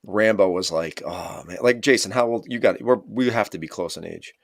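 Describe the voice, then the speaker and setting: A man in his forties hosts a fishing show stream, talking in a loose, rambling way and laughing easily. His voice sits very low. The sound is dry and close. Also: a little fast, creaky, no echo. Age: 40s. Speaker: league show host. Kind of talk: casual live-stream chat.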